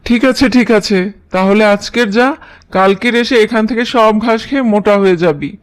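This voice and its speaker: A man's voice: high voice, normal speed, clean tone, close to an everyday voice. Man voicing an imperious, gullible cartoon lion king. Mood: pleased, gullible. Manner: imperious, talks down to others. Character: greedy, gullible, bullying lion king of the forest. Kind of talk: children's cartoon story dialogue.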